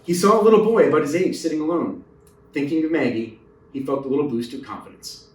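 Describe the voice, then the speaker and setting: A man's voice: fairly high pitch, quick pace. A man reading a children's story aloud as a narrator, recorded in a roomy space away from the mic. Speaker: storybook reader. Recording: roomy echo, distant mic.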